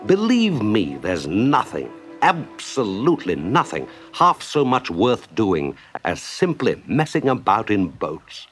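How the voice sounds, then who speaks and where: A man voicing a jolly, brisk, hearty water rat in a children's story, exclamatory and confident. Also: on the low side, huge pitch swings, faint music behind, heavily acted. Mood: enthusiastic.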